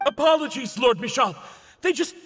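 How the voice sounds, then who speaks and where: A frightened male henchman from a video game. He is frantic and deferential, with an extremely high, tense, piercing voice for a man. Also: lively intonation, moderate pace, fairly bright tone, slightly rough, heavily acted. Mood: panicked. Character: frightened, panicky male mercenary underling reporting to his lord. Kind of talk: scripted video game voice acting.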